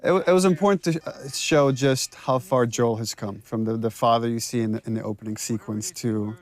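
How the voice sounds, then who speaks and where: A man speaking as a game director, explaining scenes in a casual, measured, somewhat halting way. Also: mid-range voice.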